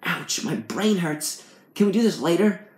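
A man's voice: high voice, animated, unhurried, voice pushed up. A man voicing a hapless, cheeky everyman in a comic story, protesting in exasperation. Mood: exasperated. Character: hapless, cheeky man narrating his misadventures. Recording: roomy, echoing room sound.

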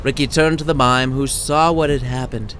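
A man's voice: medium pitch, steady tone, moderate pace, fairly bright tone, a little hiss or hum. A man reading his own short story as scripted narration, with a dramatic, playful storytelling delivery. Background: music under the voice.

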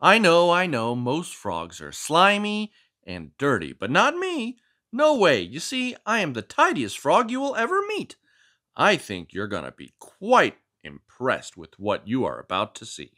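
A man's voice: a little high, huge pitch swings, a little slow, sharp tone, voice pushed up, full character voice. A man voicing a small, fussy, boastful frog who is obsessed with neatness and prone to melodramatic outbursts, reading a picture book aloud. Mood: smug, boastful. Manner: prim, scolding, melodramatic outbursts. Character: fussy, boastful neat-freak frog, small, easily outraged.

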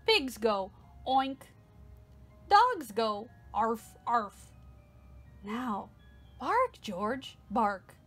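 Storybook mother dog, woman reader: patient, corrective, slow and high. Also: sing-song, fairly bright tone, soft background music, full character voice. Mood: patient correcting. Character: patient mother dog teaching her pup.

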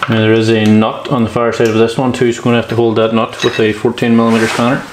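A man narrating hands-on repair work in an unscripted, matter-of-fact way, pausing between steps as a mechanic does. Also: mid-range voice, completely flat delivery. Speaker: bike mechanic and channel host. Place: indoor workshop. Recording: clear workshop noise, clanking tools.

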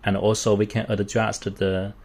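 A man giving an unscripted product walkthrough in halting, short phrases with non-native English grammar. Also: on the low side, level tone, a little mellow. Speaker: product demonstrator.

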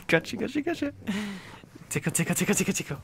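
A man's voice: high voice, gravelly, a bit bright, a little hiss or hum, heavily acted. A man playing a needy, silly, affectionate boyfriend in an intimate ASMR roleplay, rambling and teasing playfully. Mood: giggly, playful.

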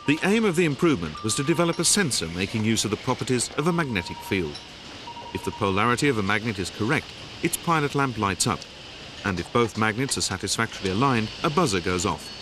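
A man giving scripted, measured narration for a factory training film, recorded as a studio voice-over. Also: medium pitch, very animated, noisy background. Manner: measured, explanatory. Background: background music.

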